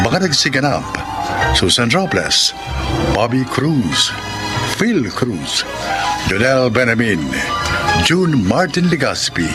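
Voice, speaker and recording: A male radio drama announcer reads scripted narration in a solemn, storytelling way. His mid-pitched voice rises and falls dramatically over clearly audible music. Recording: music bed behind voice.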